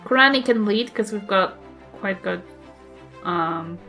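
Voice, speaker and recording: A woman's voice: mid-range voice, takes it slowly, pushed and bright, soft background music. A woman with a New Zealand accent, chatting casually and playfully as a player in a tabletop game. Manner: playful, giggly. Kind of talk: casual chat.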